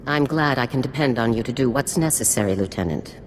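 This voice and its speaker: A woman's voice: rumbling low, animated, a little fast. An actress playing a stern, commanding female special forces general in a video game, speaking in a formal, authoritative, clipped way. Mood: stern, measured. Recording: long reverb with faint noise.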